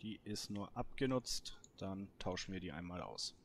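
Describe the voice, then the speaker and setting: A man giving casual let's play commentary as host, thinking aloud in short, halting phrases. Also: low voice, lively intonation, gravelly, background noise. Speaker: host of a gameplay series.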